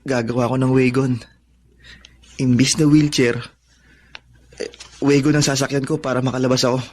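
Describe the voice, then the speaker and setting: A man's voice: medium pitch, level tone, moderate pace, darkish tone, natural voice. A man acting in a radio drama as a wistful, determined young son who speaks earnestly and politely.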